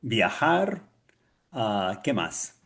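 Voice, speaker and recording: Slow, halting man with a Mexican-tinged accent. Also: fairly low pitch, very animated, a bit bright, slightly rough. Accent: Mexican-influenced Spanish. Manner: hesitant, searching for words with many fillers. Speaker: Spanish student and co-host. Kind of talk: casual podcast chat.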